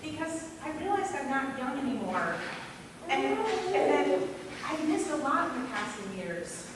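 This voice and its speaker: A woman in an amateur stage play playing a wistful, regretful middle-aged aunt, speaking haltingly and earnestly. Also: high-pitched, very animated. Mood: earnest, tender. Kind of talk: amateur one-act stage play acting. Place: stage in a hall, indoors. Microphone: distant audience camera. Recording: echoey hall from far off, noisy.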